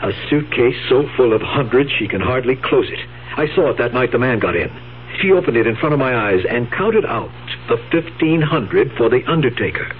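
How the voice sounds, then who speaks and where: An actor playing a firm, greedy, scheming husband in a radio drama, insistent and calculating. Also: male voice, medium pitch, animated, moderate pace, close to an everyday voice. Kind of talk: 1970s radio drama acting. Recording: tinny radio broadcast, faint hum.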